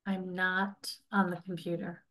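A woman's voice: fairly low pitch, flat delivery, a little slow, sharp tone. A woman in her forties playing an exasperated, persistent licence applicant in a staged comedy reading, with a plaintive manner. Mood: frustrated. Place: indoors, on a video call.

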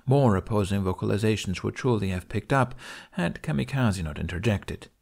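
A man narrates an audiobook story in a low voice. His intonation moves around a great deal as he reads the scripted prose. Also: lively intonation, brisk, vocal fry, darkish tone, slightly rough.

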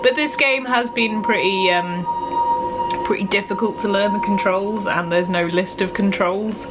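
A woman's voice: medium pitch, moderate pace, loud music behind the voice, poor audio. A woman in her thirties giving casual, reactive gameplay commentary, self-mocking and talking to herself and the game. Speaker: gamer hosting a playthrough. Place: small furnished room at home, indoors.

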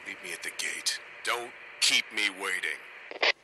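A man's voice: sits low, measured pace, pushed and bright, a little hiss or hum, exaggerated voice, rough recording. An actor playing a commanding male survivor camp leader in a naturalistic video game style. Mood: brisk.

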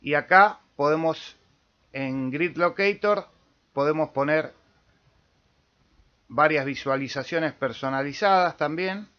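A man with an Argentine accent giving a casual step-by-step software tutorial as host, with small check-in tags. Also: on the high side, slow pace, long pauses. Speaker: amateur radio tutorial host. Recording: dull lo-fi voice-over recording.

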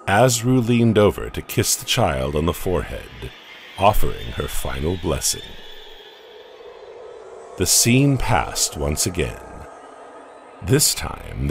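A man narrating a horror audio comic in a slow, measured, ominous way. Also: sits low, a little hiss or hum. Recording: narration over loud music.

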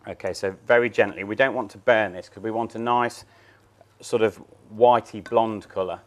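A man's voice: fairly low pitch, fairly even intonation, moderate pace. A man in his thirties, a chef, talking through a cooking demonstration step by step in a large showroom kitchen. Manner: plain, step-by-step explaining while working.

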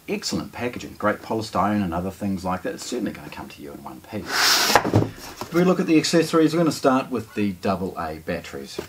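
Kiwi guy doing a casual unboxing, lowish voice, really up and down, noticeable noise behind him. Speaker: shop product presenter. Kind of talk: casual unboxing talk to camera. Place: indoors, small showroom with shelves.

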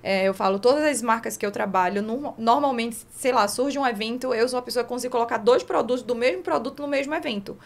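Brazilian woman, highish voice, explaining stuff emphatically on a podcast, close studio mic. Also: fast talker, gravelly, hardly any pauses. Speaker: podcast guest, networking specialist. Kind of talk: podcast discussion, casual explanation. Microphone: close studio microphone on boom arm.

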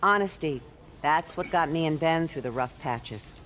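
A woman playing a kindly, candid older aunt in a video game, gently frank and probing. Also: low-pitched, expressive, moderate pace, rough recording. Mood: caring. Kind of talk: naturalistic video game acting.

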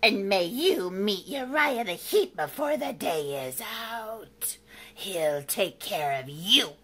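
A woman's voice: medium pitch, lively intonation, slow, fairly bright tone, slightly rough, heavily acted. A woman voicing an indignant wicked witch in a storybook, screechy and imperious. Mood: outraged.

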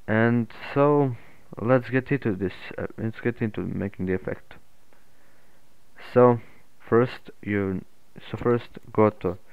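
A man narrating an unscripted software tutorial in a halting, hesitant way. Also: fairly low pitch, clear, resonant tone, dry recording. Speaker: tutorial host. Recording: muffled phone-like narrow-band audio.

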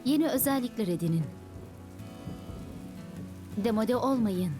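An actress playing a scornful, commanding cartoon character with a contemptuous delivery. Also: female voice, a little high, expressive, a little slow, music in the background.

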